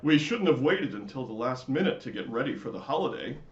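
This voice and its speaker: A man voicing a practical, pleased father in a storybook, brisk and musing. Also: medium pitch, very animated, roomy sound, highs cut off, a little hiss or hum, lightly acted.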